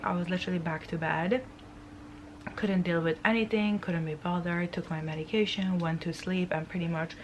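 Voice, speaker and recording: A young woman with a flu-roughened, raspy voice, sitting a little low in pitch. She chats to her vlog audience in a tired, rambling way and repeats words as she goes. The room is echoey, and a steady noise sits behind her. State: sick with flu, hoarse throat. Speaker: lifestyle vlogger. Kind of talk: casual vlog chat to camera. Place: indoors at home.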